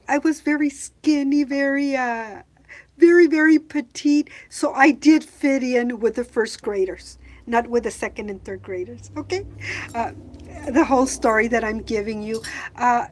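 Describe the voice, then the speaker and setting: Casting: middle-aged woman from Mexico, relaxed unhurried vlogger, halting delivery with lots of ums. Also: high-pitched. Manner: hesitant, many fillers, switches between English and Spanish. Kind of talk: casual bilingual vlog talk.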